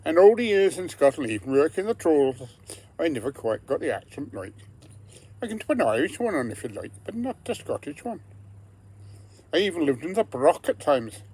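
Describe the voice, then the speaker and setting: A man's voice: mid-range voice, darkish tone, husky. A man in his seventies with an English accent, giving an earnest personal campaign monologue and building emphatic repeated phrases. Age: 70s. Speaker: political candidate.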